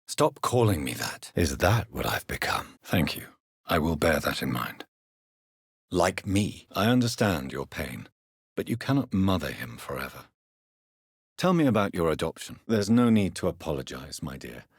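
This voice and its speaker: Grave vampire doctor for a video game, low husky voice, very formal and earnest, lots of rise and fall. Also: male voice, big pitch swings, moderate pace, no echo, clean studio sound, heavily acted. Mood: polite, probing. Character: grave, courteous, troubled gentleman doctor turned vampire. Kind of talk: dramatic video game dialogue.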